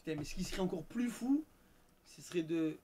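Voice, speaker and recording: Male guest, higher voice, slangy banter, playful. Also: lively intonation, a little fast, a crowd in the background. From Algeria. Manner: joking, banters in street slang. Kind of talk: casual chat.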